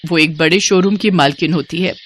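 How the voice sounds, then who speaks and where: A woman's voice: lowish voice, brisk, breathy edge. A woman narrating a scripted moral story in a dramatic, sympathetic way.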